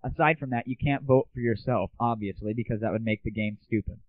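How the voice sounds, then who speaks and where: A man's voice: medium pitch, brisk, dry recording. A young man hosting a casual solo audio show, opinionated and irreverent, with mild swearing. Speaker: podcast host. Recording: lo-fi phone-like audio, music underneath.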